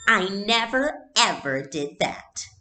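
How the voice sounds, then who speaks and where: A woman voicing a haughty little girl from a storybook, with emphatic, drawn-out words. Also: medium pitch, animated, sharp tone, slight background noise, pitched above the performer's own voice. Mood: haughty, indignant.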